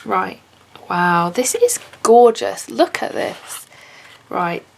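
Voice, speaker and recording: Gushing raspy woman vlogger. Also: medium pitch, drawn-out words, slight background noise. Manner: gushing, excitable, many "oh my God" and gasps. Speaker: vlogger unboxing handmade clay charms. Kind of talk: casual unboxing chat.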